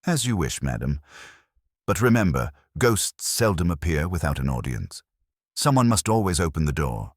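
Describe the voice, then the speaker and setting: A man voicing a mild, shrewd police inspector in a mystery story, gentle, polite and quietly probing. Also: rumbling low, big pitch swings, normal speed, no echo, clean studio sound, full character voice. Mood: quietly pointed.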